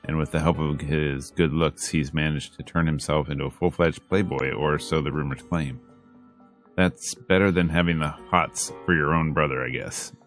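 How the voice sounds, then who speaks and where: A man's voice: rumbling low, fairly even intonation, normal speed. A man reading a visual novel aloud as a streamer, dramatic in delivery with wry, joking asides, over game music. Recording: music behind the voice.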